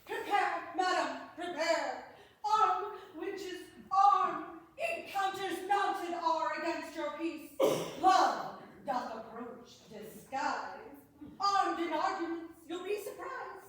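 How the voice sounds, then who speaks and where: A woman with a high voice delivers Shakespearean lines in a staged reading. Her pace is unhurried, in the manner of an amateur stage performance. The room rings heavily with echo around her. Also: fairly bright tone. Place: indoor performance room, recorded from afar. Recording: echoey room, noisy, distant microphone.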